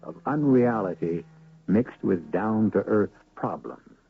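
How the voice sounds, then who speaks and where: A man hosting an old radio mystery show, narrating in a measured, dramatic manner. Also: on the low side, creaky. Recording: lo-fi phone-band old radio recording.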